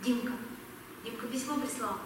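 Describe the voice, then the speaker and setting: A young woman playing an earnest, girlish army signaller in an amateur audio drama, halting shyly and repeating words. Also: fairly high pitch, natural voice. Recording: noisy echoey hall, distant mic.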